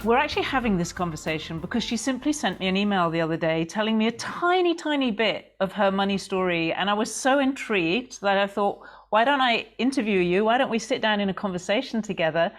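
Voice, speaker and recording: A woman hosting a podcast, asking a guest curious, reflective questions in a relaxed conversation. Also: mid-range voice, a little fast, hardly any pauses. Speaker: podcast host.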